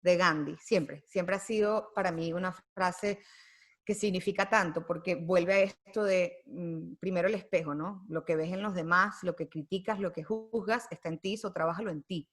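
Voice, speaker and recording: A woman's voice: lowish voice, steady tone, moderate pace. A woman guest on a podcast, speaking thoughtfully with many fillers and tag questions. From United States. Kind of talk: reflective conversational interview.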